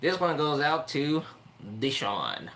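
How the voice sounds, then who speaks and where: A man's voice: a little high, huge pitch swings, takes it slowly, a bit bright. A man hosting a casual livestream, ranting with lots of repetition and stumbling restarts. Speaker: streamer host.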